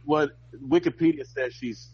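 A man's voice: fairly high pitch, normal speed, darkish tone, husky. An American man, a comedian guest on a podcast, chatting casually and conversationally. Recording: dull, lo-fi remote-sounding audio.

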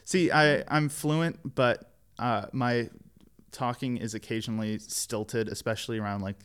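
A young man chatting casually on a podcast, relaxed and a bit hesitant. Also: mid-range voice, a little slow, smooth. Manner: relaxed, hesitant, with fillers and restarts. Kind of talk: casual chat.

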